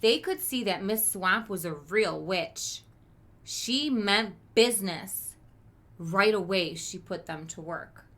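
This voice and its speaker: Female schoolteacher for children's read-aloud; crisp bright tone, unrushed pace, expressive narration with chatty explanations. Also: medium pitch, takes it slowly. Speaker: elementary school teacher.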